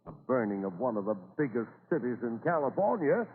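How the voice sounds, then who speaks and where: A man's voice: mid-range voice, big pitch swings, a little fast, natural voice. An actor with an American accent playing a worried, outspoken frontier townsman in an old radio drama, talking in a dramatic, folksy way. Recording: scratchy, narrow-band old radio recording.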